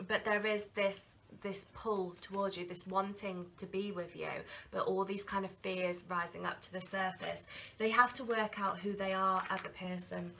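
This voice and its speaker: Woman in her thirties, chatty tarot reader with a head cold, sharp tone and gravelly edges, close camera mic. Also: medium pitch, slight background noise. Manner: chatty, animated hand gestures, slips into quoted inner voices. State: has a cold. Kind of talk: casual tarot reading to camera. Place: small room with candles and crystals, indoors. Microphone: camera in front at desk distance.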